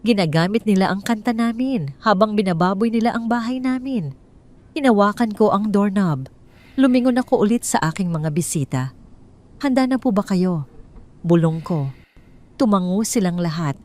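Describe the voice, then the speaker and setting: A woman's voice: mid-range voice, normal speed, darkish tone. A woman narrating a first-person audio drama in a tense, dramatic storytelling manner.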